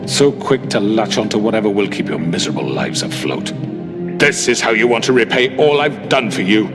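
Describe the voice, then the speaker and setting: A man's voice: lowish voice, expressive, a little fast, lightly acted. An actor playing a cunning older male crime boss on trial, scornful and contemptuous, heard over game music. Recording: game audio with music behind.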